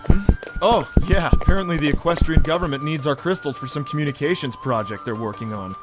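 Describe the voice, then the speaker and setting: A man's voice: a little high, huge pitch swings, brisk, over music. A man acting a friendly, modest young pony prince in an audio drama, with an easygoing, earnest manner.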